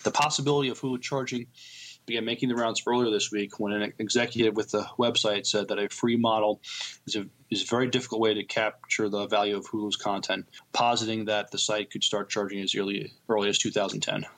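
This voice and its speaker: A man co-hosting a podcast with casual news chat, haltingly repeating words as he goes. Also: fairly low pitch, flat delivery, a bit bright, rough recording. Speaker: podcast co-host.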